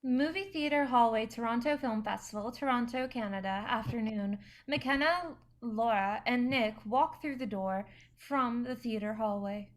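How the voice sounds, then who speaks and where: A woman's voice: fairly high pitch, normal speed, rough recording. A woman reading out stage directions as scripted narration for a play.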